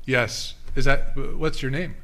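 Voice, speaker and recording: A man's voice: mid-range voice, fairly even intonation, slow pace, pushed and bright. A man giving an interactive sermon in a church, talking casually with the congregation, with many restarts and self-deprecating asides. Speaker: guest preacher. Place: church sanctuary.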